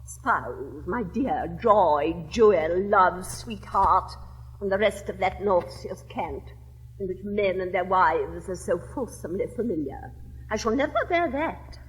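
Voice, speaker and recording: A woman's voice: medium pitch, lively intonation, a little slow, in a large hall, close to an everyday voice, rough recording. An English actress in her seventies playing a witty, capricious young lady of fashion, with an affected, swooping theatrical drawl. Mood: imperious. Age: 70s. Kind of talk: 1960s classic stage recording.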